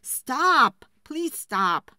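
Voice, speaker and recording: A woman's voice: high voice, big pitch swings, drawn-out words, bright, forceful tone, dry recording, studio quality, voice pushed up, full character voice. A woman voicing a meek, apologetic male woodcutter in a children's folk tale, hesitant and pleading. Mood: fed up. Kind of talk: storybook character voice.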